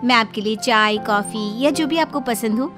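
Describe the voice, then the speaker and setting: Cheeky cartoon bride, played by a woman: high voice, stubborn, sugary back-talk, music bed. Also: normal speed, lightly acted. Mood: teasing. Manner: pert, mock-sweet back-talk. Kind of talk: cartoon family drama acting. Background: background music.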